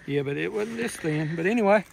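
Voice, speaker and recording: A woman with a Southern US accent and a creaky, gravelly voice talks in a folksy, casual way to her viewers. She is outdoors, and there is some noise on the recording. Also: lowish voice, expressive, a little fast, darkish tone. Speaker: metal detecting vlogger. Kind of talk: casual chat while digging. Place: outdoors on an old farm. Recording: outdoor camera audio with noise.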